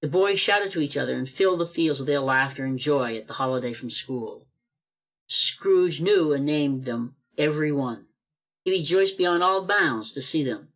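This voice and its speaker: Higher-pitched male storyteller. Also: animated, moderate pace, a bit bright. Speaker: narrator. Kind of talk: scripted storytelling narration for a radio play.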